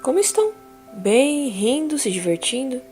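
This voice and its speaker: A woman acting a calm therapist in an audio drama, asking gentle probing questions over music. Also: high voice, moderate pace.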